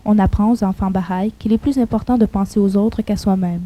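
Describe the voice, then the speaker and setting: A woman giving calm, measured documentary narration. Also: medium pitch.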